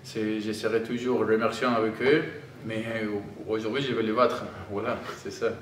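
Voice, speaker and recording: Halting Argentine-accented man in an echoey room. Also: lowish voice, level tone. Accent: Argentine Spanish. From Argentina (Tucumán, in the north). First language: Spanish. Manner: halting, searching for words in non-native French. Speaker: professional rugby player. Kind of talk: press conference answers. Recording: roomy, distant microphone, audible background noise.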